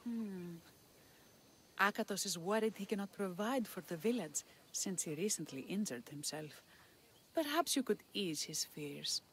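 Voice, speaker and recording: Solemn priestess over ambient noise. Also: female voice, medium pitch, animated, measured pace, a bit bright. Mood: solemn, devout. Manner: formal, reverent, grandiose. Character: solemn, devout, flattering village priestess. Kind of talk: video game cutscene acting.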